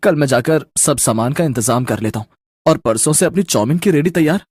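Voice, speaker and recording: A man plays a poor, hardworking young noodle seller in a cartoon tale. The voice is a little high for a man and recorded very dry. He speaks earnestly, with a plaintive emphasis on his words. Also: animated, fairly quick, darkish tone, slightly rough, no echo. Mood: hopeful, determined. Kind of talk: Hindi cartoon story dubbing.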